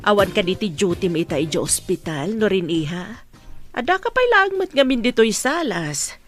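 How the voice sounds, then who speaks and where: Woman playing nosy affectionate mother, middle-aged, very animated intonation, teasing, exclamatory, audio drama. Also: mid-range voice, normal speed, music in the background, natural voice. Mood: probing. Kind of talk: Ilocano audio drama dialogue.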